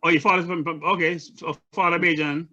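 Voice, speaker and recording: A Jamaican man hosts a relaxed video-call chat, friendly and rambling, often circling back on his words. His voice is bright and sits a little high. The call audio sounds dull and lo-fi. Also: fairly quick. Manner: rambling, repeats himself, friendly. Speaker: show host.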